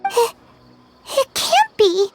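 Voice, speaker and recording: A woman voicing a tiny, excitable, earnest fairy companion in a video game, with a squeaky childlike voice. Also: extremely slow, music in the background, heavily acted. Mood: shocked. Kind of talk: video game cutscene voice acting.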